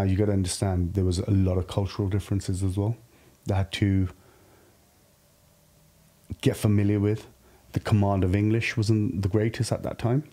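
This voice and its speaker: A man's voice: deep voice, warm tone. A man in his early thirties from Pakistan, talking casually and candidly as a podcast guest in a reflective, informal way. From Lahore, Pakistan. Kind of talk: casual personal storytelling. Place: podcast studio. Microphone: studio podcast microphone.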